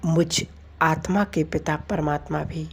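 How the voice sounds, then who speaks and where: Woman, meditation guide, low pitch, unhurried slow delivery, soothing devotional commentary, short phrases with pauses. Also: fairly even intonation, slightly rough. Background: music.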